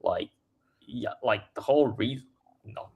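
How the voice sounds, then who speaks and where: A man's voice: medium pitch, unhurried, phone-quality sound. A young man from Singapore, a startup co-founder talking casually as a podcast guest, full of fillers and restarts. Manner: filler-heavy, restarts often, laughs between points. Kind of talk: casual interview chat.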